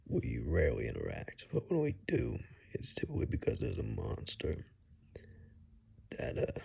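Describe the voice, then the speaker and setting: A man playing a gruff, wry forest ranger and monster hunter in an ASMR roleplay, speaking in a hushed, halting way with dry humor. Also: huge pitch swings, heavily acted. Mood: grim, dry. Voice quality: very deep, gravelly, husky. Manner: slow, halting, hushed, dryly joking. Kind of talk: ASMR roleplay, one-sided conversation.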